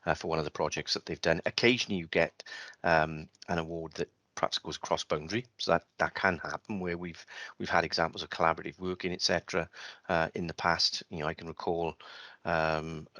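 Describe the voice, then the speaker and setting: A man's voice: low voice, fairly even intonation, a little fast, bright, forceful tone, no room sound. A man chairing an online meeting over a video call, talking at length with many restarts and fillers.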